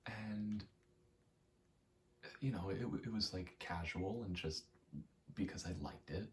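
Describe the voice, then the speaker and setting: Casting: young man, low soft voice, halting casual ASMR rambler, faint noise behind. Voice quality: soft, low, often slipping into whisper. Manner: halting, self-deprecating, casually sweary. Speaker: ASMR creator. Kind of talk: rambling ASMR, casual personal chat while gaming.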